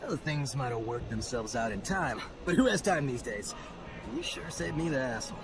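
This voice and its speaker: A man's voice: mid-range voice, lively intonation, normal speed, lightly acted. A man acting as a chatty, slippery merchant in a video game, folksy, effusive and ingratiating. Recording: music behind the voice.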